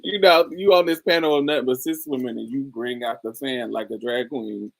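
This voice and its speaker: A woman hosting a casual chat, telling stories in a lively way with frequent laughter and free swearing. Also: rumbling low, brisk, vocal fry. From New Orleans, USA. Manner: animated storytelling, frequent laughter, swears freely. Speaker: host. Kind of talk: casual livestream chat with viewers.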